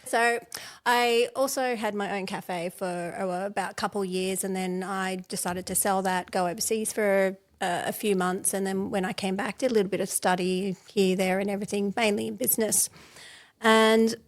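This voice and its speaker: A woman's voice: mid-range voice, steady tone, normal speed. Chatty woman in her forties. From born in England, raised in Australia. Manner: chatty, storytelling, frequent fillers and laughs. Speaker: podcast guest. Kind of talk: casual interview chat.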